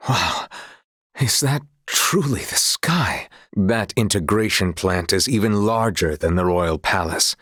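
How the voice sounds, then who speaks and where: A dutiful, remorseful knight from a fantasy video game. His voice sits on the low side and has a husky grain. He speaks in formal, archaic courtly phrases with solemn sincerity, recorded close and dry. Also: expressive, takes it slowly, fairly bright tone, no room sound, full character voice. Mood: grave, sincere. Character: solemn honourable male former sea knight, remorseful, dutiful. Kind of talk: dramatic scripted game dialogue.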